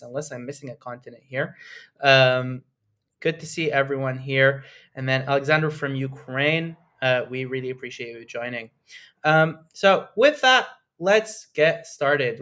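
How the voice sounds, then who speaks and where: A young man from Lebanon presents a live online webinar. His voice sits a little higher than most men's, and he talks in an enthusiastic, chatty way, with many "uh"s and "right?"s. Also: a bit bright. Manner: enthusiastic, conversational, with frequent "uh" and "right?".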